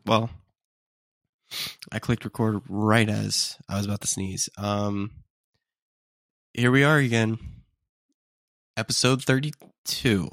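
A man's voice: on the low side, steady tone, slow, long pauses, fairly bright tone, dry recording, studio quality. A young man hosting a casual solo podcast, sounding congested and sniffly as he chats in a rambling, self-deprecating way. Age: young adult. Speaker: podcast host.

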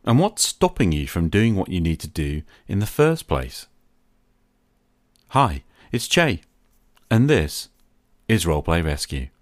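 A man speaking as a podcast host in a reflective scripted monologue, with an earnest, measured manner. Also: low-pitched, very animated.

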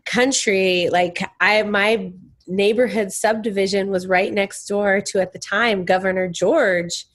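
A woman's voice: medium pitch, fairly even intonation, a little slow. Candid young woman from Texas. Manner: candid and emphatic. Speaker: guest musician. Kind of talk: conversational interview.

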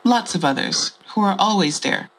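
A woman's voice: medium pitch, expressive, normal speed, slight background noise, lightly acted, low-quality recording. A woman playing an enigmatic, blunt traveller in an audio drama, musing candidly and swearing freely. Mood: eerie musing.

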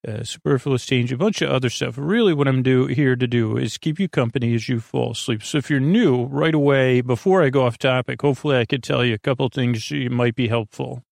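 A man with a creaky voice hosting a bedtime podcast in a meandering, halting, drawn-out monologue that keeps wandering off on tangents. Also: medium pitch, a little mellow, dry recording, studio quality. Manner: hesitant, halting, drawn-out, digressive. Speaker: podcast host.

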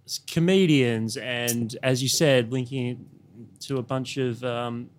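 A man's voice: medium pitch, steady tone, slow pace. A man co-hosting a casual podcast, often restarting and repeating words. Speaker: podcast co-host. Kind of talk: casual chat.

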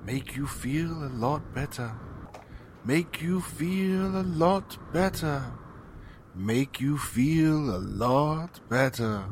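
A man playing a soothing, deferential manservant with a sinister edge in a radio drama, measured and obsequious. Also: a little high, lively intonation, slight background noise. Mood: eerie, hypnotic.

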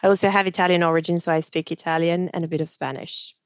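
Woman, low dry voice. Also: fairly even intonation, fast, no room sound. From Switzerland. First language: French. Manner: thoughtful, many fillers and restarts. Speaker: guest, managing director. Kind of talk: casual interview conversation.

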